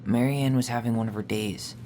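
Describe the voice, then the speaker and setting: An actor playing a gentle, thoughtful young man in a video game, with an understated, subdued delivery. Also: medium pitch, steady tone, fairly quick, a little hiss or hum, close to an everyday voice. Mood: matter-of-fact. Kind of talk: naturalistic video game acting.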